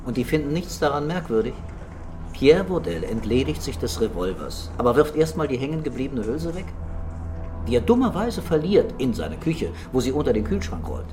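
Radio crime play: male actor as a quietly commanding detective chief, medium pitch, curt and doubting, faint music behind. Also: very animated, fairly quick, natural voice. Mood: sceptical. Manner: terse, probing. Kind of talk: German crime radio drama dialogue.

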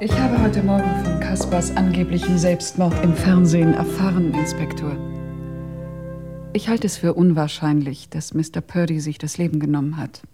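An actress playing a composed young flight attendant answering police questions in a German radio crime drama. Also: female voice, on the low side, fairly even intonation, moderate pace, music in the background, close to an everyday voice.

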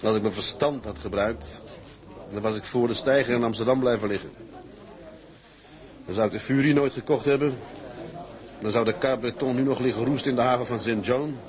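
An actor playing a weary, plain-spoken tugboat captain who talks in a reflective, measured way in dramatic dialogue. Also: male voice, mid-range voice, close to an everyday voice, rough recording. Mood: sober, reflective. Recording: music behind the voice.

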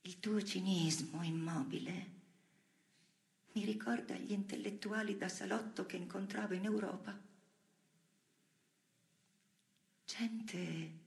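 An actress playing a weary, defiant imprisoned dissident in an intimate theatrical monologue, creaky and breathy, often dropping to a whisper. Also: female voice, mid-range voice, darkish tone, roomy sound. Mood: quietly defiant. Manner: brooding, drawn-out phrasing.

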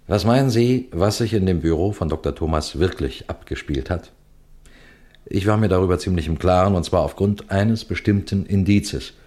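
An actor playing a shrewd, composed senior police detective in a radio crime play, speaking in a deliberate, courteous, instructive way. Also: male voice, a bass voice, darkish tone. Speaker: detective and narrator addressing listeners. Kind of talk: scripted German radio crime drama.

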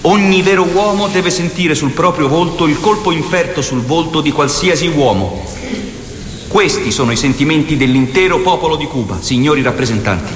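A man's voice: fairly high pitch, normal speed, a little hiss or hum, natural voice. An actor playing a passionate, idealistic revolutionary, reading his letters and speeches in a theatrical way. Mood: declamatory. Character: Che, passionate, idealistic revolutionary and faraway husband.

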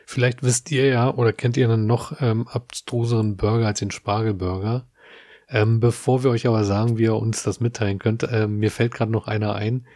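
A man's voice: on the low side, flat delivery, moderate pace, darkish tone, dry recording, clean studio sound. A man from Berlin co-hosting a casual podcast, chatty and dotted with fillers. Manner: chatty, many "äh" and "ähm" fillers, laughs often. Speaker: podcast co-host. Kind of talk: casual chat.

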